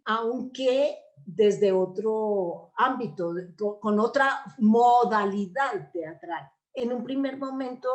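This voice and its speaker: A woman's voice: medium pitch, a little mellow. A woman answering interview questions in an explanatory, discursive way. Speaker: interview guest, theatre actress and playwright.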